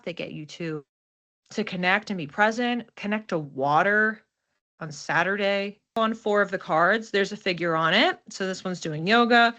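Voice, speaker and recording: A woman with a medium-pitched voice talks through a card reading as its host. Her delivery is informal and conversational. She tends to repeat words and string them into short lists to stress a point. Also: sharp tone. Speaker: host, oracle card reader.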